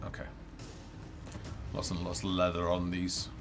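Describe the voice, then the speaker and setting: Middle-aged guy doing casual game dev stream, deep voice, thinking out loud, game music behind. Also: completely flat delivery, unhurried. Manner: hesitant, thinking aloud while playing. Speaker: game developer presenting. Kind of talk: casual live gameplay demo commentary. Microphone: headset.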